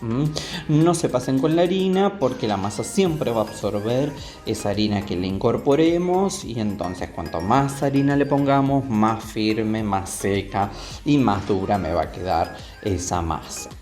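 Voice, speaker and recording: A man's voice: lowish voice, expressive, measured pace, darkish tone. A man with a Rioplatense Spanish accent giving a step-by-step cooking demonstration, instructive and often checking in with short tag questions. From Argentina. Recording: roomy echo, music behind. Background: music.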